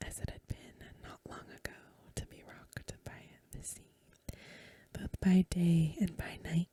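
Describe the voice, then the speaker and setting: Slow, breathy woman whispering softly. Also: on the low side, no echo. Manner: hushed, gentle, unhurried. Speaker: host of an ASMR channel, academic reader. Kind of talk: soft-spoken ASMR talk and story reading.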